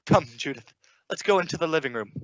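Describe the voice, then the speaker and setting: A man's voice: a little high, animated, fast, no echo, highs cut off, close to an everyday voice. A man acting as an eager, flustered middle-aged father in an old-time radio play, hearty and repeating himself. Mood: soothing.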